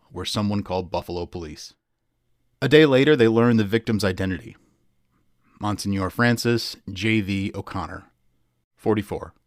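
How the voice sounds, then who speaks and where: A man reading scripted true crime podcast narration as host, in a measured, emphatic way. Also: lowish voice, no echo, studio quality. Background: music.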